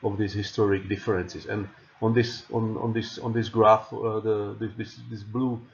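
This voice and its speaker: A man's voice: lowish voice, flat delivery, moderate pace, slight background noise. A man from the Czech Republic with a Czech accent, a non-native speaker giving a recorded lecture over slides, stumbling over repeated words and fillers. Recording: dull lo-fi audio.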